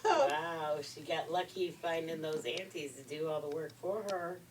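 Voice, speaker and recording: A woman's voice: low voice, expressive, a little slow. An older woman, a folksy grandmother, chatting casually and reading stories without hurry. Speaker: grandmother and storyteller. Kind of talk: casual chat and story reading. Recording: noisy, distant room recording.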